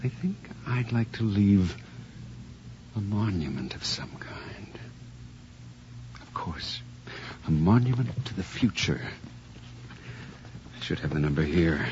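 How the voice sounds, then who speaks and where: An actor playing a bitter, scheming husband in a 1980s radio horror drama, with a theatrical, sardonic delivery. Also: male voice, deep voice, lively intonation, slow pace, long pauses, a little mellow. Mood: mocking, menacing. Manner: theatrical, sardonic muttering to himself. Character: bitter, scheming husband plotting a gleeful deadly revenge. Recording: dull, noisy 1980s radio recording.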